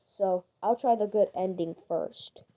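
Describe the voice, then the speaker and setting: A woman's voice: mid-range voice, unhurried. A woman presenting a scenario as narrator in an explanatory voice-over. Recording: loud background noise.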